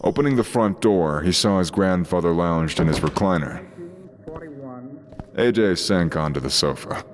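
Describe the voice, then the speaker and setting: Very deep-voiced man narrating fiction. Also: normal speed, a little hiss or hum.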